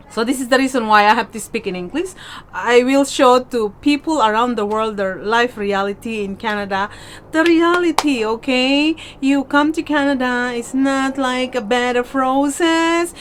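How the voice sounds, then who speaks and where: A woman with a Filipino accent chatting casually as a vlogger in non-native English, emphatic and repetitive, often checking in with "okay?". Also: on the high side. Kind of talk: casual question-and-answer vlog chat. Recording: noticeable background noise.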